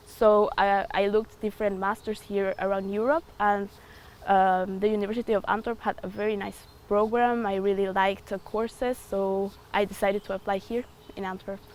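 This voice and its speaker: Woman, 20s; thoughtful interview answers with fillers, average pitch, slight background noise. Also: flat delivery, moderate pace, a little mellow. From Ecuador. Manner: thoughtful, hesitant with frequent fillers. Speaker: student.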